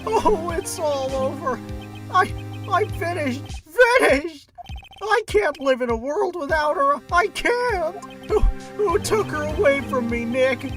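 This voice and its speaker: A high-pitched, melodramatic young male defendant. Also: animated, takes it slowly, exaggerated voice. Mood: despairing, hysterical. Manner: wailing, melodramatic. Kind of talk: video game fan dub acting. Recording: distant voice under clear music.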